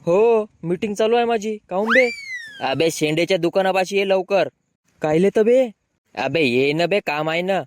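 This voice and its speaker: Man playing a high-voiced brash village lad. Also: moderate pace, no echo, natural voice. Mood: grumbling banter. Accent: rural Marathi. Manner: bickering, slangy. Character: brash, grumbling young village men in a comic cartoon. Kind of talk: comic cartoon dialogue.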